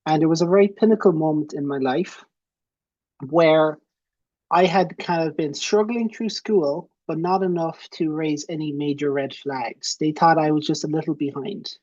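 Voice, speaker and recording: A man's voice: on the high side, fairly even intonation, normal speed, a little mellow, no echo, lo-fi sound. A young man with an Irish accent giving a chatty, friendly webinar presentation from a small room at home, speaking into a headset. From Ireland. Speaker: presenter and software founder.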